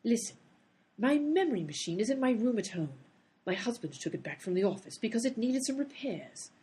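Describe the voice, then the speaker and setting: Woman playing an exasperated, husky, high-pitched comic heroine. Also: big pitch swings, brisk, mellow, heavily acted. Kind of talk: comedy radio play acting.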